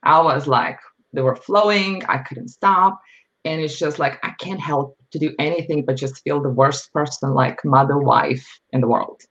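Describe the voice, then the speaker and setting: A woman's voice: deep voice, normal speed, a bit bright. A middle-aged woman from Lithuania, a podcast guest speaking candidly and reflectively about her life, with frequent fillers. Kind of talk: personal storytelling. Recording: slightly dull lo-fi audio.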